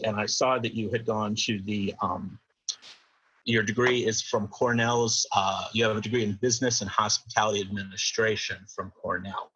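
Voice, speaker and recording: A man interviewing a guest on the radio, rephrasing his questions haltingly with fillers. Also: on the low side, bright, forceful tone, slightly rough, lo-fi sound.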